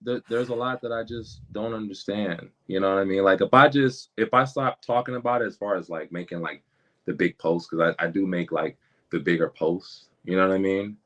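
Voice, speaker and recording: A young man, a rapper guest, talking candidly in a podcast conversation, halting and repeating words, with casual slang. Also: sits low, fairly bright tone, dull audio.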